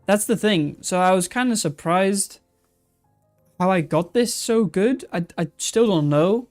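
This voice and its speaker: A casual live streamer muses over old aquarium setups in a smooth, clear voice, hesitating often and restarting sentences. They read viewer comments aloud and answer them as they go. Faint music plays softly underneath. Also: mid-range voice. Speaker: aquarium channel host.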